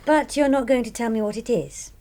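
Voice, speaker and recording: An actress playing a perceptive grown-up daughter in a radio drama, young, British, polite but pointed. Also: female voice, fairly high pitch, a little fast, warm tone, natural voice. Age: young adult.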